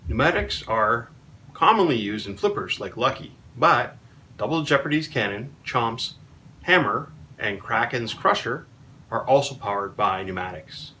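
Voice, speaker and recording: Bright, animated man reading aloud. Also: medium pitch, measured pace, slight background noise, rough recording. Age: 40s. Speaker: robot combat team member. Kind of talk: reading a children's book aloud.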